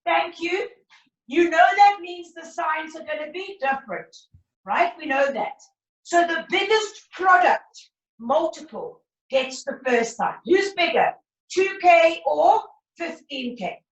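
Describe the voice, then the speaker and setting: Woman, maths teacher, croaky, brisk, roomy video call sound. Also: very high voice, expressive, distant mic, dull audio. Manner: brisk, encouraging teacher talk. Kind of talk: online class lesson. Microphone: laptop video call.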